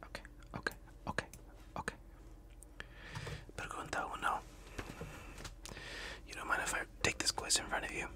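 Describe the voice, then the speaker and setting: A man's voice: normal speed, long pauses. A man whispering through a casual study-with-me ASMR roleplay, chatting in slangy asides to the listener. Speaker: ASMR creator.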